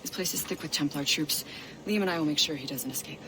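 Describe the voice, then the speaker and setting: Woman acting businesslike assassin lady from a game, lowish, composed, noisy outside. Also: quick pace, natural voice. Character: businesslike female assassin scout, alert and composed. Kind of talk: video game cutscene acting.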